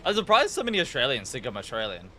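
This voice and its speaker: A man's voice: mid-range voice, huge pitch swings, quick pace, sharp tone, a little hiss or hum. A man with a New Zealand accent chatting casually and informally on a gaming livestream, rambling and swearing freely. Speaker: live game streamer.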